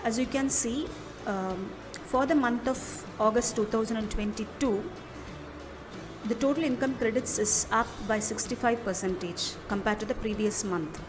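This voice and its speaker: A woman with an Indian accent narrating an instructional software demo, explaining step by step in a measured manner. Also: on the high side, low-quality recording. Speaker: product demo presenter. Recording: music behind the voice. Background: background music.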